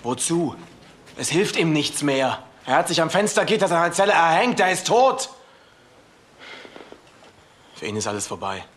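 A man's voice: on the high side, big pitch swings, normal speed, pauses often, a bit bright, slight background noise. Bitter, accusing young man. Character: bitter, accusing young son of a dead convict. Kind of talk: naturalistic German radio crime drama.

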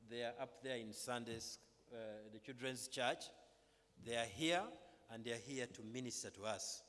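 A middle-aged man with a Ugandan accent welcomes a congregation and gives church announcements in a measured, halting but emphatic way, speaking at a pulpit microphone. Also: mid-range voice, a bit bright, a little hiss or hum. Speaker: clergyman leading a church service. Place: large brick-walled chapel, indoors. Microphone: microphone on stand at pulpit, through face mask. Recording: reverberant hall through PA microphone.

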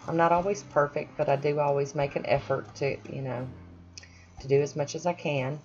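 A woman's voice: deep voice, fairly even intonation, normal speed, a little mellow, highs cut off, faint music behind. A woman chatting casually over her own nail painting, self-deprecating with friendly asides. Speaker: nail art vlogger. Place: home manicure station, voice-over.

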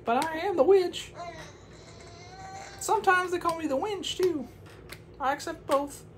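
A man voicing a fussy, easily offended woman witch from a bedtime story in a high squealing falsetto. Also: a little slow, a little hiss or hum. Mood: chatty. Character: shrill fussy female witch in woods.

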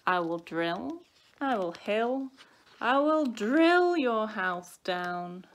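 Whiny baby wolf for a storybook played by a woman, raised pitch, dragged-out slow words. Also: very animated, exaggerated voice. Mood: excited, boisterous.

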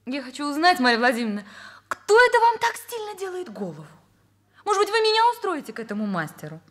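A naive young woman, a secretary in a Soviet radio play. Her voice is high and lilts widely up and down. She is coy and chatty, wheedling as she talks. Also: sing-song, quick pace, a bit bright. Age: young adult. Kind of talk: Soviet radio drama acting.

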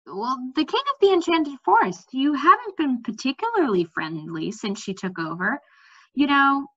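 A woman reads as a brisk, candid young fantasy heroine from a storybook. She talks in a bright, fairly high voice with animated pitch. The recording is a little dull. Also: husky, exaggerated voice. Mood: worried. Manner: matter-of-fact, animated.

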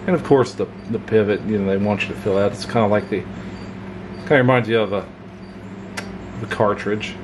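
Man, lowish voice, laid-back folksy knife review, home workbench, steady background hum. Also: brisk, pauses often. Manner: relaxed, rambling, folksy with fillers. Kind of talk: casual hands-on product review. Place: home workshop desk, indoors. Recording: clear hum of room noise.

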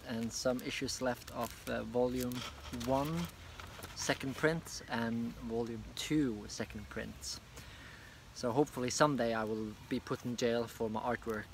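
A man's voice: mid-range voice, vocal fry, fairly bright tone, slight background noise. Rambling Norwegian man outdoors. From Norway. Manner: loose and rambling, many fillers. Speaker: comic artist and vlogger. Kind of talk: casual video review. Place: park bench outdoors, beside a hedge. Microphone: phone camera at arm's length.

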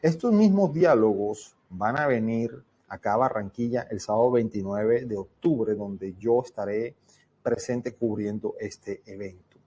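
A man's voice: on the low side, measured pace, mellow, slightly rough. A man in his fifties giving informal, disapproving news commentary to camera from an indoor room. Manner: disapproving, colloquial. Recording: crowd noise behind voice.

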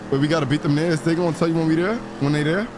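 A man's voice: on the high side, fairly even intonation, very fast, background noise. A man streaming a role-play game, chatting casually in slangy street banter.

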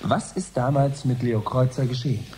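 An actor playing a composed broadcast host in a radio drama, interviewing guests with formal courtesy. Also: male voice, mid-range voice, normal speed, warm tone, natural voice. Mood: composed, inquiring. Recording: faint background noise.

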